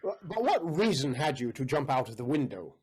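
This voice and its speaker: Man playing a jealous, imperious count, very animated. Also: mid-range voice, moderate pace, breathy edge. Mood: exasperated. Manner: haughty, theatrical. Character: jealous, imperious count, suspicious of his servant. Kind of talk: comic period stage acting.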